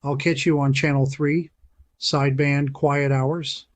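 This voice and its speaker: A man who hosts a channel, giving a punchy, emphatic scripted narration with dramatic pauses. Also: on the high side, level tone. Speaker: channel host.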